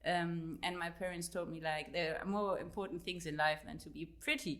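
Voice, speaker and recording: A woman's voice: on the low side, fairly even intonation, normal speed, fairly bright tone. A woman in her late thirties with a German accent, chatting as a guest in an interview. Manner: chatty, many fillers, laughs easily. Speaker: guest author. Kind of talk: casual interview chat.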